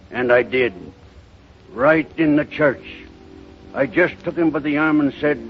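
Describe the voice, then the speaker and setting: A man's voice: mid-range voice, lightly acted. An actor playing a stern, bitter, unforgiving townsman with a curt, blunt delivery in 1930s radio drama style. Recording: muffled 1930s radio recording.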